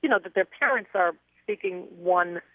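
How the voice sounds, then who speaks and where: A woman's voice: on the low side, moderate pace, no echo. A woman singer telling stories as a guest in a radio interview, chatty and reflective, heard over a phone line.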